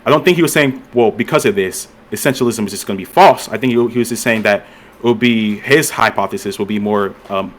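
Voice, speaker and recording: Low-voiced, hesitant debate moderator man. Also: quick pace. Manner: hesitant, full of fillers. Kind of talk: casual voice-chat debate.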